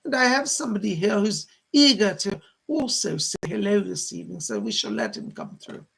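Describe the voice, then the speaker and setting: A man voicing a gentle, motherly female spirit guide in a trance séance, effusive and soothing, with genteel endearments and a husky, creaky voice. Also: sits high, expressive, a little fast, heavily acted. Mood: excited, welcoming.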